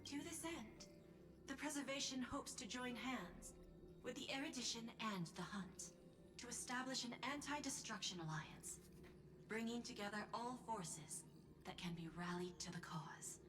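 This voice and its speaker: An actress playing a poised, persuasive corporate executive in a video game, speaking formally in measured phrases. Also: female voice, fairly high pitch, lively intonation, music in the background, close to an everyday voice. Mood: persuasive, assured. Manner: formal, smooth-talking, measured. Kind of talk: scripted video game dialogue.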